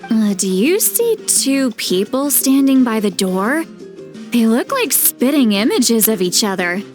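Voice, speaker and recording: An actress playing an ancient but youthful-sounding granny in a video game, tipsy, casual and self-deprecating, with a teasing drawl. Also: female voice, on the high side, sing-song, unhurried. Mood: tipsy, puzzled. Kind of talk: video game character acting. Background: game music.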